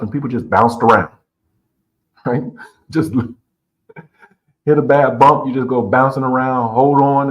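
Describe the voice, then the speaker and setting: A middle-aged man with a fairly low voice and an American accent. He presents like a trainer on a live stream, laying out points in short, deliberate phrases. He leans on key words and checks in with rhetorical questions. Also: a little mellow, rough recording. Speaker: host and presenter on diversity training. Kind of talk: explanatory online presentation with examples. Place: small indoor room, live video stream.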